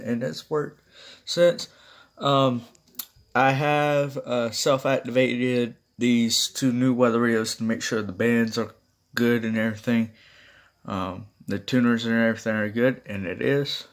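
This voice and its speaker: Slow-paced guy, casual and halting. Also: mid-range voice. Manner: halting, informal. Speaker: video creator showing a weather radio collection. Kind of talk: casual vlog chat. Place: small room at home, indoors. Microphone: handheld phone or camera held close.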